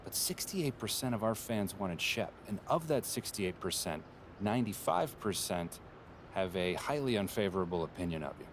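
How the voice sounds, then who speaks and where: An actor voicing a polished, data-obsessed male marketing executive in a video game, speaking in smooth corporate terms. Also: lowish voice, sing-song, normal speed, a little hiss or hum. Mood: businesslike. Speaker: head of brand and marketing. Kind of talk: video game cutscene dialogue.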